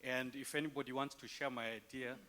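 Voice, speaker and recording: A man's voice: medium pitch, fairly even intonation, a little fast, sharp tone. A man from Zambia with a Zambian accent, speaking from a conference audience in a polite, upbeat and eager way. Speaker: audience member. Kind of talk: audience comment.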